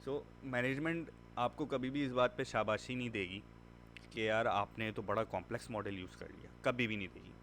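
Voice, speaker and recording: A man's voice: medium pitch, moderate pace, fairly bright tone, slight background noise. A man teaching a machine learning class in a conversational way, mixing in English words and repeating points for emphasis. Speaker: instructor. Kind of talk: classroom lecture.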